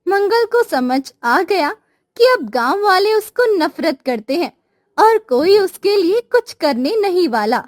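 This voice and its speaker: A woman's voice: very high voice, very animated, measured pace, no room sound, studio quality. A woman narrating a scripted cartoon moral story.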